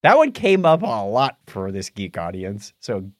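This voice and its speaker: A man's voice: fairly low pitch, a little slow, no room sound, studio quality. A man co-hosting a podcast in a casual, jokey style, bantering and reading items aloud. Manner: jokey, animated. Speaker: podcast co-host.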